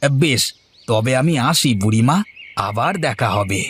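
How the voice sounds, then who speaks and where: Husky, simple-hearted cartoon villager. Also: male voice, medium pitch, animated, unhurried, fairly bright tone. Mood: kindly, obliging. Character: simple, good-natured, obliging village man. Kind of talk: cartoon voice acting.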